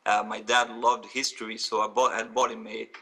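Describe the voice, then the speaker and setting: A man, a guest telling stories in quick, earnest bursts full of fillers. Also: fairly low pitch, flat delivery, gravelly, a bit bright, far from the mic, slight background noise. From France. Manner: earnest, fast, with many fillers. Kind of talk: storytelling interview answers.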